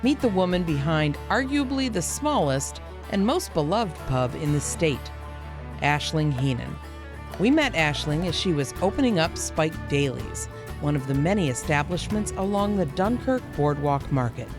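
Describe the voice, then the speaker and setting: A woman's voice: low-pitched, sing-song, normal speed, over music. A woman hosting a show, introducing guests and interviewing with encouraging little affirmations. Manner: encouraging, lots of small affirmations. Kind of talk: friendly interview and narration.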